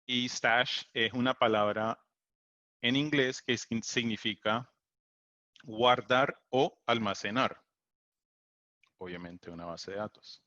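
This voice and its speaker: A man's voice: mid-range voice, slow, pauses often, bright, forceful tone, no echo. A man who is a native English speaker, giving an online technical talk in Spanish with hesitant fillers and restarts, heard over a computer mic on a video call. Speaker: technical support engineer presenting a webinar. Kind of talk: online tech presentation. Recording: dull lo-fi video call audio.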